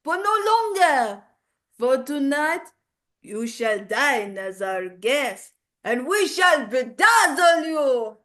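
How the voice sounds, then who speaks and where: A woman voicing a lively, theatrical French showman who grandly welcomes a guest to a castle. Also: sits high, slow pace, full character voice. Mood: showy, excited. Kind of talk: acted character line in a voice lesson.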